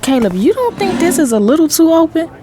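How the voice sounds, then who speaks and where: A woman's voice: very high-pitched, sing-song, brisk, noisy background. A woman playing a guarded socialite in an audio drama, coy and challenging in casual dialogue. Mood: guarded, teasing. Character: guarded, coy socialite testing her secret admirer.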